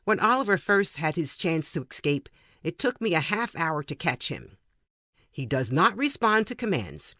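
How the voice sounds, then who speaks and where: A man's voice: on the high side, very animated, normal speed, bright, forceful tone, dry recording, studio quality. A man reading a humorous personal story aloud as scripted narration. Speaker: reader of short stories for a podcast.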